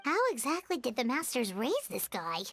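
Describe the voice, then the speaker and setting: A woman voicing a tiny, excitable, blunt childlike companion creature in a video game, with a squeaky voice. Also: normal speed, full character voice. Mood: incredulous. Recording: music behind the voice.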